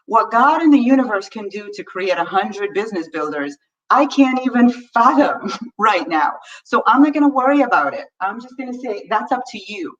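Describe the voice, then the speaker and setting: A woman's voice: a little high, lively intonation, normal speed. Woman coaching on a distant lo-fi mic. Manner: earnest, reads viewer comments aloud, frequent "right?". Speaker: money coaching host. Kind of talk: live-stream coaching talk. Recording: distant mic, dull lo-fi sound.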